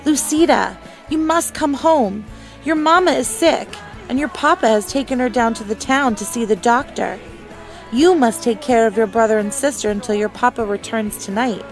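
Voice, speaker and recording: A woman's voice: high voice, normal speed, soft background music, pitched above the performer's own voice. Woman reading as a concerned village neighbor woman. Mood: urgent.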